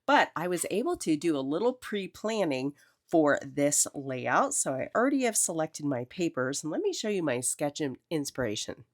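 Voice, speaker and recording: A woman's voice: low-pitched, big pitch swings, moderate pace, dry recording, clean studio sound. A middle-aged woman hosting a casual craft tutorial, chatty and enthusiastic, with a cold. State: has a cold. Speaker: crafting video host.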